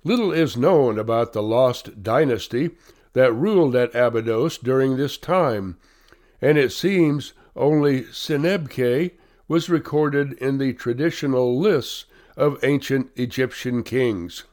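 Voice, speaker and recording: A male volunteer reader with a cold, reading magazine articles aloud slowly and carefully. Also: medium pitch, darkish tone. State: has a cold.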